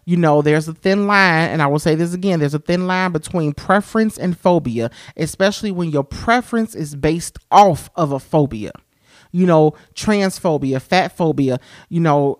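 A man with a high-pitched voice hosting a podcast, talking frankly and expressively with many "you know" fillers. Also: a little slow, no room sound, studio quality. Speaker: podcast host. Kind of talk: candid solo podcast monologue.

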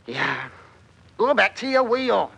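Swooping, grumbling rustic brother. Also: male voice, sits high, very animated, slow pace, creaky, heavily acted. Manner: theatrical dialect, exclamatory. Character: grumbling, reluctant, easily frightened rustic brother. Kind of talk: classic radio drama acting. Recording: faintly noisy old radio recording.

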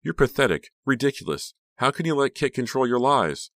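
Frightened, defiant young heroine for a horror novel, played by a man; pitch pushed well up, strained, imploring. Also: very animated, brisk, no echo. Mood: angry, frantic. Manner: crying out, pleading and shouting. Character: terrified, defiant young woman, desperate and pleading.

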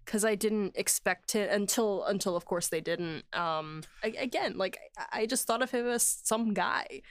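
A woman hosting a podcast, chatting casually and enthusiastically about making a show, quick to laugh. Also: mid-range voice, no echo. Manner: effusive, enthusiastic, prone to laughing. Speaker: podcast host and series writer.

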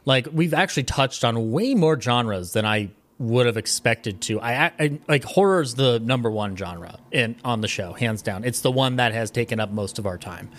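Male podcast guest, medium pitch, animated and rambling, jokey casual conversation. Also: normal speed, hardly any pauses. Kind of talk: casual chat.

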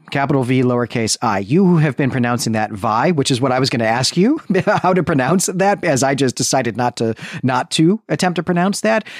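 Male co-host for literary podcast, middle register, analytical and deliberate yet conversational, tight dry sound. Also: medium pitch, no room sound. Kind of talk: literary discussion, casual chat.

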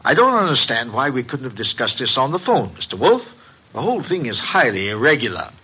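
A man's voice: medium pitch, big pitch swings, brisk, sharp tone, husky, full character voice, rough recording. An actor playing a fussy, courteous businessman in an old radio mystery, hesitant and flustered in his politeness. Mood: indignant, uneasy.

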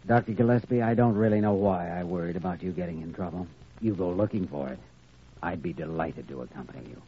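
An actor playing an earnest, caring young doctor in a 1940s radio drama, with a measured, persuasive manner. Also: male voice, sits low, lightly acted. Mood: amused. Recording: muffled, noisy old radio recording.

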